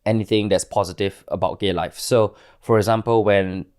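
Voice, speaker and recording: A man's voice: on the low side, fairly even intonation, moderate pace, a little mellow. A man in his late thirties with a Singaporean accent, speaking candidly as an interview guest and often searching for his words.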